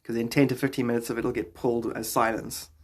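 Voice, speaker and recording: A man's voice: mid-range voice, flat delivery. A man in his thirties with a South African accent, chatting casually and playfully in slang as he hosts a live stream, close to a phone in a small room. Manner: playful, slangy, greeting viewers in drawn-out exclamations. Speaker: live streamer and podcast host.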